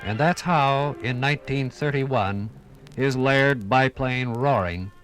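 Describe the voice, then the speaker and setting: Theatrical American male radio narrator over music. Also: mid-range voice. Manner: theatrical, oratorical, dramatic pauses. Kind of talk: 1950s dramatized radio documentary narration.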